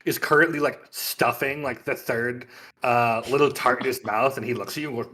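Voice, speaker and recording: A man's voice: mid-range voice, fairly even intonation, normal speed, bright, forceful tone. A man running a tabletop fantasy game as game master, describing scenes in improvised, conversational narration.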